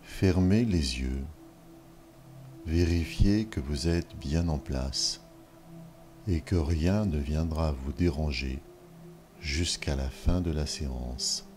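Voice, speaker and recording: A man guides a yoga nidra relaxation at an extremely slow pace. His voice is very deep and mellow, giving short, measured instructions separated by long pauses. Music plays behind him.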